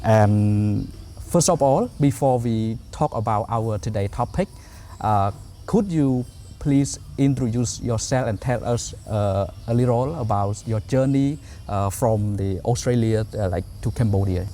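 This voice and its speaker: Guy hosting, polite and formal, lots of uhs, warm lowish tone, faint noise. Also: a little slow. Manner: polite, formal, many hesitations. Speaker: interview host. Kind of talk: hosting an interview.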